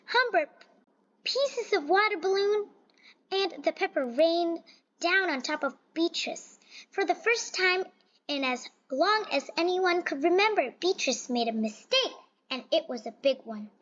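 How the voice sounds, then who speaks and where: A woman's voice: extremely high pitch, lively intonation, measured pace, fairly bright tone. A woman reading a children's picture book aloud, expressively but with small stumbles and repeats. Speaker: storybook reader. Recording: dull, lo-fi sound.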